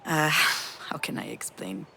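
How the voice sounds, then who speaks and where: A woman's voice: low-pitched, animated, takes it slowly, gravelly, pushed and bright, slightly rough, exaggerated voice. A woman playing a damaged, grieving mother in a narrative video game, confiding and hesitant as she reflects. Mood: tender, reflective.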